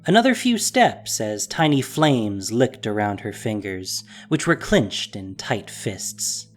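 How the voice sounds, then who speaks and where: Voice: mid-range voice, very animated, slow pace, faint music behind. A narrator reading a scripted fantasy story in a dramatic storytelling style.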